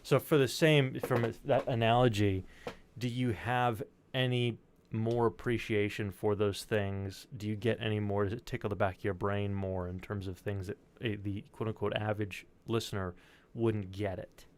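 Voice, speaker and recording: A man's voice: on the low side, steady tone, normal speed. A man hosting a casual chat show, talking in a wordy, joking way and swearing freely. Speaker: podcast and stream host.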